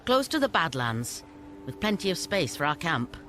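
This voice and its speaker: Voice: mid-range voice, very animated, normal speed, pushed and bright, faint music behind. An actor playing a shrewd, condescending scavenger boss making deals in a video game. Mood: businesslike. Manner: condescending, businesslike. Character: brisk, shrewd scavenger boss, sardonic dealmaker.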